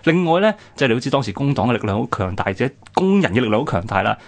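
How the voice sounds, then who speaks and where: Quick hong kong cantonese guy telling history on radio, explains stuff step by step. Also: medium pitch, very animated. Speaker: radio history programme host. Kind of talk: conversational historical storytelling.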